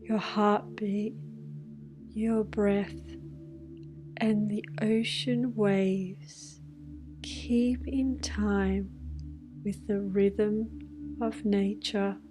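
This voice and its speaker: A woman guiding a scripted meditation in a hushed, soothing manner, leaving long pauses between phrases. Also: mid-range voice, steady tone. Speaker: meditation guide. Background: music with singing bowls.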